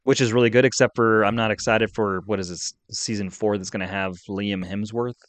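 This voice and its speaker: A man's voice: on the low side, fairly even intonation, a little fast, no room sound. A middle-aged man talking casually and conversationally as the co-host of a podcast.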